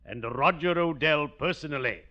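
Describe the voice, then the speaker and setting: An actor playing a gloomily jovial, genteel undertaker with a formal, drawn-out delivery in 1940s radio comedy style. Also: male voice, a little high, pushed and bright. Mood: macabre cheer. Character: genteel, gloomily jovial small-town undertaker, a family friend. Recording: dull, noisy vintage 1940s radio recording.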